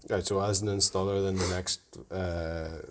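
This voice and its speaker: A man's voice: sits low, level tone, normal speed, background noise. A man co-presenting a casual tutorial, agreeable and informal as he prompts and recaps.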